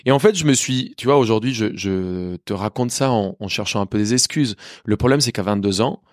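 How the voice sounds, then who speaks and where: A man in his thirties with a low voice and a faint Colombian Spanish accent, speaking his second language fluently. He tells his story in a lively, candid way, stumbling and restarting often. The sound is close and dry, with no room echo. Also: a little fast. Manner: lively, many restarts and hesitations. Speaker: chef guest.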